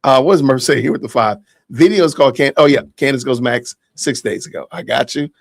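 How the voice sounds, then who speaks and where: A man hosts a casual livestream podcast with a medium-pitched voice. He talks straight to his viewers and reads out their comments. The sound is close and dry. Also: fairly quick, vocal fry, dry recording. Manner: thanks and addresses viewers directly. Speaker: podcast host.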